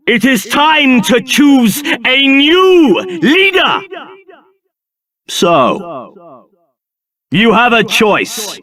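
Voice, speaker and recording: An arrogant, bitter fantasy game villain with a high male voice. His delivery swings widely in pitch and takes its time, haughty and declamatory, as befits someone hungry for power. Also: fairly bright tone, exaggerated voice. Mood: menacing. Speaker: video game voice actor. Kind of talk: theatrical video game character acting.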